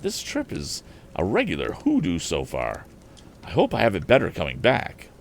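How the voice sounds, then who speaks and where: Man voicing a plucky young inventor, lively pitch swings. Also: normal speed, vocal fry, a little hiss or hum, full character voice. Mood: musing. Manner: eager, exclamatory, thinking aloud to himself. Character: earnest, plucky young male inventor in an old adventure tale.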